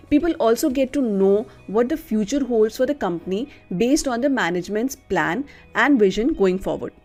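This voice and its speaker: A woman's voice: medium pitch, darkish tone. A woman reading a scripted educational narration as the narrator of an explainer video. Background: background music.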